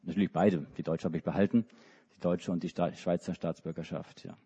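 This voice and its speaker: A man's voice: low-pitched, steady tone, brisk, warm tone, breathy edge. A middle-aged man from Hamburg, a preacher, telling a children's story in a church service with many restarts and hesitations. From Hamburg, Germany. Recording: dull recording in a reverberant hall.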